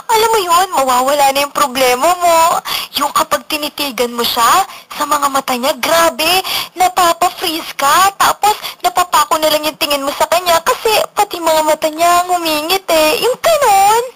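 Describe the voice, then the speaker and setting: A woman's voice: high-pitched, moderate pace, a bit bright. A woman acting as a playful, smitten college student in a radio romance drama, with a girlish, teasing manner and a Filipino accent. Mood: dreamy, smitten. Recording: lo-fi radio recording with music. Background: music.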